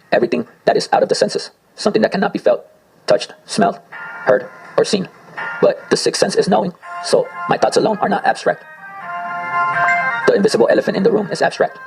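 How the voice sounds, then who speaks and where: A man's voice: high voice, very animated, fairly quick, gravelly, pauses often, low-quality recording. A man whose first language is Spanish, freestyling an abstract spoken word monologue, rambling and talking to himself. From Spanish-speaking home. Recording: faint music behind voice. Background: faint circus music.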